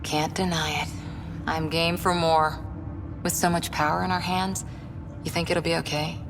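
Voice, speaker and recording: Deep-voiced, thoughtful female officer. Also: little pitch movement, moderate pace, over music. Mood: reflective. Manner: casual, reflective. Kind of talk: video game dialogue. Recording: clear background ambient noise.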